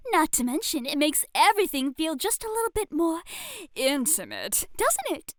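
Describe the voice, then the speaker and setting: Very high-pitched, playful lady tutor, acted by a woman. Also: wildly swooping pitch, unhurried, no echo, studio quality, full character voice. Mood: coy, delighted. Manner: theatrical, coy, grandly formal phrasing. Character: playful, eccentric, elegant female flirtation tutor. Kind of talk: ASMR roleplay acting.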